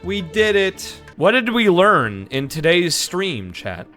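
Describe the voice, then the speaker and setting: A man streaming a game with high, bright speech at an unhurried pace. He jokes along casually in an animated, sweary, mock-dramatic way. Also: soft background music. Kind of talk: casual joking game commentary.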